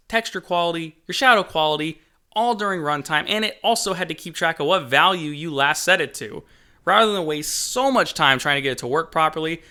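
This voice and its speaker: A man with a somewhat high, sharp and forceful voice presents a development update. He reads as a video host, conversational but emphatic, with self-deprecating asides. The narration is scripted. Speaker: game developer and channel host.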